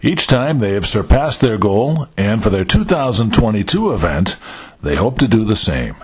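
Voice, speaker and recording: A man's voice: on the low side, sing-song, normal speed, darkish tone, a little hiss or hum. A man reading a scripted charity announcement. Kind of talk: scripted charity appeal read.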